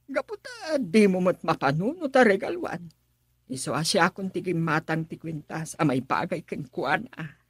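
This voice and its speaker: A woman's voice: lowish voice, very animated, moderate pace, husky, no echo, clean studio sound, exaggerated voice. A woman playing a scheming, doting middle-aged mother in a radio drama. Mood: coaxing. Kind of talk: Filipino radio drama acting.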